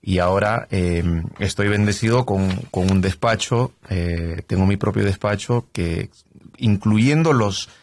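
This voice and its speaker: A middle-aged man from Canada, a trial lawyer, explaining his work in a relaxed interview with many fillers and tag questions. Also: lowish voice, unhurried. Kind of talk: conversational interview.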